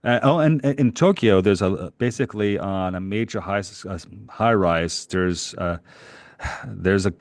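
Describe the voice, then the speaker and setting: A middle-aged man from Canada with a low, deep voice tells a long, animated story on a podcast. He rambles and chuckles as he goes. The sound is dry and close, with no room echo. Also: measured pace. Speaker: podcast co-host. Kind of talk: casual chat, travel storytelling.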